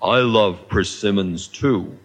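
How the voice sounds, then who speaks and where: A man voicing a big, drunk male laborer who bellows in rough, broken grammar. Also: mid-range voice, lively intonation, measured pace, bright, forceful tone. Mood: despairing.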